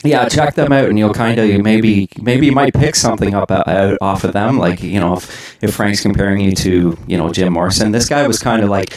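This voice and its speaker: A man hosts a laid-back interview, chatting easily and restarting his sentences as he goes. His voice sits a little low and rings in an echoey room. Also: a little fast, few pauses, a little mellow. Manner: easygoing, chatty, with many ums and restarts. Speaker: host. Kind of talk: casual interview chat.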